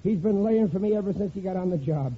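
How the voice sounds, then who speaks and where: An actor playing a gruff, self-pitying working-class husband in a 1940s radio comedy. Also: male voice, high-pitched, quick pace. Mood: grumbling. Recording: tinny old radio broadcast with hiss.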